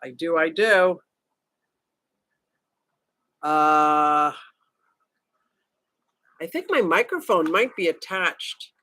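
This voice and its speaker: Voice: mid-range voice, lively intonation, extremely slow, long pauses, bright, forceful tone, dry recording, clean studio sound. A livestream host chatting casually with viewers from a vacation room, joking around and greeting people by name. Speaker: livestream host reading chat. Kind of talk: casual live chat.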